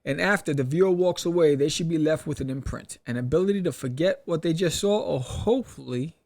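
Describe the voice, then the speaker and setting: Gravelly-edged, higher-pitched male podcast host. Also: fairly quick. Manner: animated, punctuates points with rhetorical questions and "right?". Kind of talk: casual advice talk, reading an article aloud with commentary.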